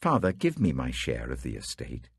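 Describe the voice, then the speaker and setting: A British man plays a humbled, desperate younger son in a scripture story. The voice is very low and husky, with earnest, pleading swings in pitch. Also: wildly swooping pitch, moderate pace, no room sound, heavily acted. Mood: demanding.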